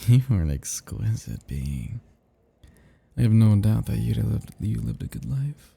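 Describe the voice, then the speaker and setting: A man's voice: fairly low pitch, very animated, measured pace, vocal fry, dark tone, husky, no room sound, exaggerated voice. A man playing a seductive, possessive vampire in an intimate ASMR roleplay, teasing and menacing, with archaic turns of phrase. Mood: brooding, menacing.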